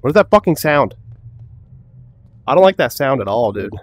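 A young man giving casual, excitable gameplay commentary, swearing often and laughing a lot. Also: medium pitch, normal speed, no echo. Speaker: gaming YouTuber.

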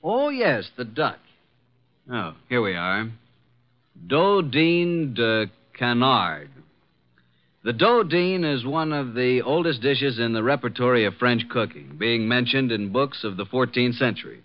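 An actor playing a breezy, wisecracking detective's assistant, glib and sarcastic, in old-time radio drama style. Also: male voice, medium pitch, animated, unhurried, natural voice. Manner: glib, sarcastic, smooth-talking. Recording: muffled vintage radio broadcast.

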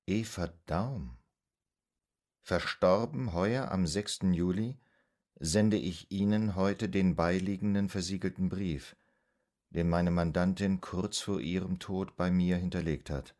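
An actor playing a polite, reflective psychoanalyst of 1920s Vienna in a radio drama, formal and restrained. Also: male voice, sits low, a little mellow.

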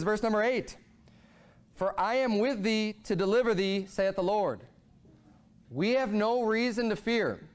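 A man in his thirties preaching a sermon from a church pulpit, his voice climbing and dropping as he drives his points home. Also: high voice. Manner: animated preaching, voice rising and falling a lot. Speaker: pastor. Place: church sanctuary, speaking at a pulpit.

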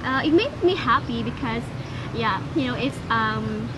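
A woman's voice: a little high, animated, measured pace. A twenty-two-year-old woman with a Filipino accent, chatting as a guest and searching for words, recorded outdoors with street noise. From Philippines. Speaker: interview guest. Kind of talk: casual chat. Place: outdoor bench in a public plaza.

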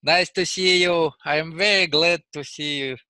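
A middle-aged man with a Russian accent, chatting in simple, halting English phrases and often repeating his words. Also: fairly high pitch, fairly even intonation, pushed and bright, smooth, no room sound, clean studio sound. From southern Russia. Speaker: English learner. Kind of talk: casual conversation practice. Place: indoor room on a video call.

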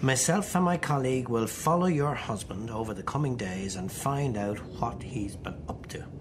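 An Irish man acting as a brisk, reassuring private detective in a radio play. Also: medium pitch, noisy background, close to an everyday voice. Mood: calm, reassuring. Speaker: actor.

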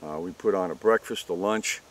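A man's voice: deep voice, brisk. A man in his sixties giving a plain-spoken interview outdoors as the organizer of an event. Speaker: youth hunt organizer. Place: outdoors by parked cars. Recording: faint outdoor background noise.

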